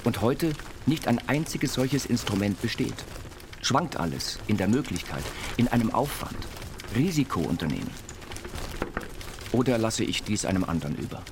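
A man's voice: lowish voice, animated, normal speed, background noise. A man giving a literary reading of written texts for a radio feature. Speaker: reader of an inventor's writings. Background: music.

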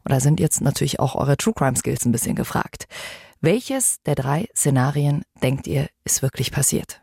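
A woman's voice: a bass voice, animated, moderate pace, creaky, dark tone, husky, no room sound. A woman hosting a true-crime podcast in a conversational way, putting questions to the listeners. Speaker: podcast host.

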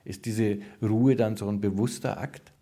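Mellow, lowish-voiced interviewer, male. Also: fairly even intonation, unhurried. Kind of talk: prepared interview questions.

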